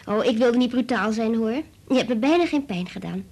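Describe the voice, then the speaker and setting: Radio drama: polite, trusting little girl, high and breathy, sing-song lively pitch. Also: brisk. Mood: curious, polite. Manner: childlike, artless.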